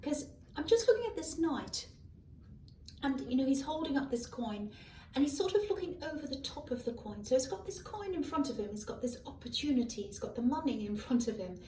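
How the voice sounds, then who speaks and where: A hoarse woman giving a casual tarot reading, musing aloud with many ums and self-corrections, recorded on a faulty microphone. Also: high voice, sing-song, a little fast, warm tone, slight background noise. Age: adult. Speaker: tarot reader. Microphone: malfunctioning microphone at a distance. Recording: echoey room, far from a faulty mic. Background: faint passing cars.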